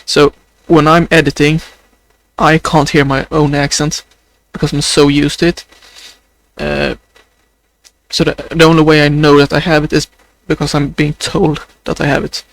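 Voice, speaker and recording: A man's voice: fairly high pitch, little pitch movement, vocal fry, fairly bright tone, no echo, rough recording. A young man with a Swedish accent talking unscripted in a video diary, hesitant and full of fillers, a voice people say sounds close to crying. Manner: hesitant, many fillers, slow and halting. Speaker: video journal creator.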